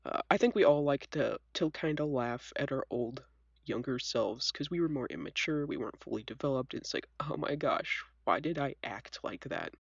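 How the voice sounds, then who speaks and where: Young woman w a cold, scratchy low voice, chatty and self-deprecating, dry dull lo-fi recording. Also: normal speed, vocal fry, husky, no echo. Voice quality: scratchy, congested. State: sick with a cold, sniffly. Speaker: video host. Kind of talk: casual chat, storytelling.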